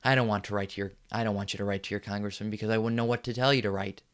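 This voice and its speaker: An actor playing a furious middle-aged TV newsman who delivers an impassioned on-air rant that repeats itself and builds to yelling. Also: on the low side, fairly even intonation, rapid-fire, close to an everyday voice. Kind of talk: film monologue.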